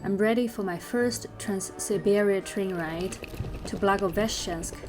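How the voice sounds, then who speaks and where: Young woman, Chinese accent, calm travel vlogger with music behind. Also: medium pitch, a little slow. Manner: calm, explanatory, with frequent fillers. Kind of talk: travel vlog narration and on-camera talk. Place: train sleeper compartment.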